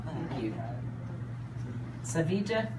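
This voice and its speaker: A fairly low-voiced woman speaks formally and measuredly, reading each name with care. She is heard from far back in a roomy hall, captured on a phone among the audience. Clear crowd noise sits under her voice. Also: very animated. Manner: formal, measured, reads names carefully. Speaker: immigration official presenting petitioners. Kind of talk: formal ceremony address, reading out names. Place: hall with large windows, seated audience. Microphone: phone recording from the audience.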